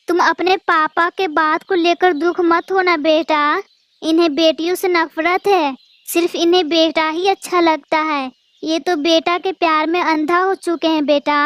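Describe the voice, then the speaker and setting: A woman voicing a gentle, suffering mother bird in a Hindi cartoon, speaking plaintively. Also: extremely high pitch, fairly even intonation, unhurried, no echo. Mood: sad, comforting. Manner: plaintive, repetitive.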